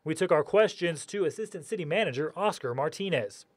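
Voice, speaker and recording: A man's voice: on the high side, lively intonation, fairly quick, slight background noise. A man narrating a scripted television news report.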